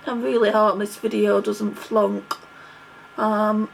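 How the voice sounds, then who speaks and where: A woman's voice: mid-range voice, level tone, slow pace, creaky, slight background noise, poor audio. A middle-aged woman vlogging casually while doing her makeup, chatty and rambling, with a stuffy nose from a cold. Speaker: beauty vlogger.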